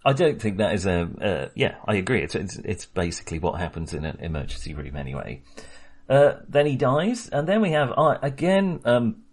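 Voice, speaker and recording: A man with a somewhat low, husky voice talks through a film in a relaxed, rambling way. He hesitates a lot, restarts his sentences and breaks into laughter. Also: moderate pace. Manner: chatty, hesitant, many fillers and restarts, laughs often. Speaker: podcast co-host. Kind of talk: casual film discussion.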